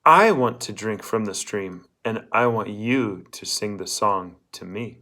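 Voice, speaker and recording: A man's voice: on the low side, unhurried, pushed and bright. A man voicing a blunt old woman fortune-teller in a storybook, bossy and emphatic as she hands out scorn and instructions. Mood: instructing. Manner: emphatic, instructing, asks rhetorical questions.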